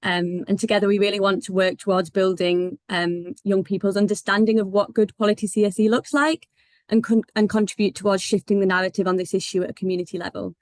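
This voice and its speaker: A woman's voice: medium pitch, flat delivery, quick pace, no room sound. A woman with a failing voice, giving informal welcome remarks as a senior advisor, with many ums and restarts. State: losing her voice. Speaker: senior advisor at a partner organization. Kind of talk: welcome remarks at an online meeting.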